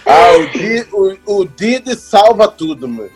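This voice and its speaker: A Brazilian man in his thirties telling stories in a casual chat, animated, laughing often and mimicking other voices. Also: high voice, a little slow, music in the background. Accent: Brazilian Portuguese. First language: Portuguese. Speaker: actor working as an extra.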